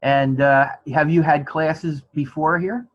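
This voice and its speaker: A man with a New Jersey accent leads an online class. His voice sits a little high and the sound is somewhat dull and band-limited. He talks informally and encouragingly, like a teacher chatting with students. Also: fairly even intonation, slow pace. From New Jersey, USA. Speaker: college instructor. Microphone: online class call.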